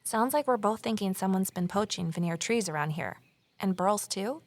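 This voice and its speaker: An actress voices a worried, determined woman investigating a mystery in an audio drama. Her voice sits at a middle pitch with a noticeable gravelly creak. She sounds earnest and inward-looking, in a naturalistic style. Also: expressive, moderate pace, no echo, lightly acted. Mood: concerned. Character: Evelyn, anxious, determined amateur sleuth woman. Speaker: lead character and narrator.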